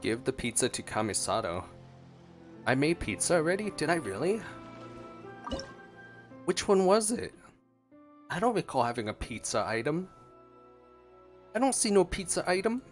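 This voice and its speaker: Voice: medium pitch, very animated, normal speed, long pauses. A streamer giving casual, playful gameplay commentary, reacting aloud to the game. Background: game music.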